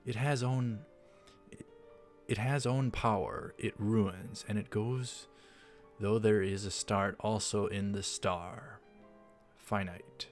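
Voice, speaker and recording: A man with a low voice hosts a let's play in a casual style. He rambles and halts as he comments on the game. Faint music sits behind his voice. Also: slow.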